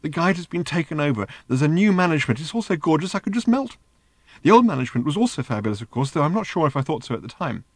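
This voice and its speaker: A man's voice: a little high, sing-song, fast talker, no room sound, pitched above the performer's own voice. A man voicing a giddy little flying security robot that squeals and gushes with breathless delight. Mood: gushing.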